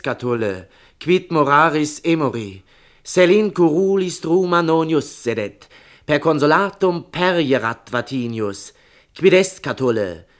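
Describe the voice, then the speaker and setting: A man's voice: medium pitch, lightly acted. An actor in a German radio play playing a clever, earnest, evasive young male pupil, speaking precisely and politely. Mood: declaiming, earnest.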